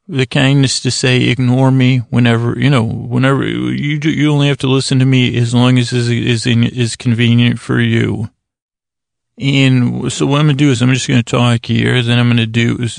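A man's voice: mid-range voice, gravelly, no room sound, clean studio sound. A man hosting a bedtime podcast in a rambling monologue, stammering and restarting often as he drifts into silly, self-deprecating tangents. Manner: halting, stammering, frequent false starts. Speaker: podcast host.

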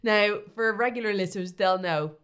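An Irish woman with a middling pitch chats as a podcast co-host. Her banter is casual and comic, with wry, deadpan remarks. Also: normal speed, very noisy.

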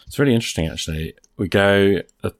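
A man's voice: on the low side, fast talker, no echo. A man playing a tabletop game, improvising story details casually in a thoughtful, hesitant way.

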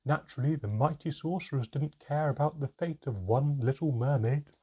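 A man voicing a tiny, proud female mermaid with a deep, strong voice and a sarcastic, sneering, bitter manner. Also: lively intonation, normal speed, warm tone, slightly rough, muffled recording.

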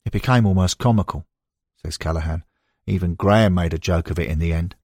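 A man's voice: deep voice, a little fast, a little mellow, no room sound, clean studio sound. A man reading scripted narration as an audiobook narrator, moving between prose and quoted recollections.

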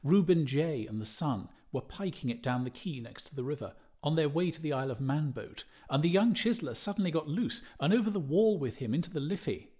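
A hearty middle-aged Irish gentleman in an audiobook, played by a male reader. He tells his tale broadly and emphatically, dominating the talk, with his pitch lifted. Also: expressive, brisk, hardly any pauses, husky. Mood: gleeful.

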